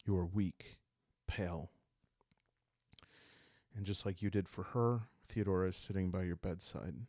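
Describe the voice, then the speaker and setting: A man narrating a solo roleplaying story in a calm, hushed ASMR style, halting with deliberate pauses, close to the microphone. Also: deep voice, dry recording. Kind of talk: calm ASMR narration of a dark journaling game.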